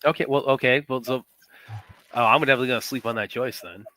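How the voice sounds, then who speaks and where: A man's voice: medium pitch, fast, bright, forceful tone, dry recording. A young man co-hosting a live stream, rambling with many fillers and restarts, sniffling from a runny nose. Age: young adult. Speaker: co-host. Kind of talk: casual live stream chat. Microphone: computer headset mic with sound trouble.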